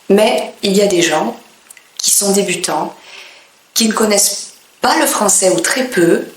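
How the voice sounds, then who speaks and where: A woman from France, a French teacher, speaking in a clear, deliberate way with pauses between phrases. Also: medium pitch, echoey room, slight background noise, poor audio. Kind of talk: slow, careful teaching monologue.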